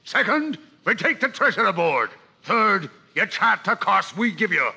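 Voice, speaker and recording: A villainous captain, high and piercingly sharp, with a thick drawl. He is sly and blustering, swinging widely in pitch through a gravelly creak, as on an old adventure record. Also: very animated, unhurried, exaggerated voice. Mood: sly, bargaining. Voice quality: raspy, gravelly. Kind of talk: audio drama acting on a record.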